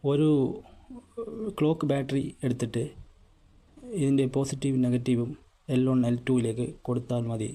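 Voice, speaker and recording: A man's voice: mid-range voice, level tone, normal speed, pauses often, mellow. A Malayali man narrating a step-by-step repair tutorial in a plain, instructional manner. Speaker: host of a repair tutorial channel.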